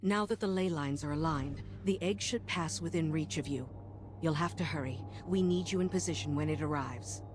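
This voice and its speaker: A woman playing a loyal, brisk military lieutenant in a video game, giving mission briefings in an earnest, businesslike way. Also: low-pitched, noisy background, close to an everyday voice. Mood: encouraging, urgent.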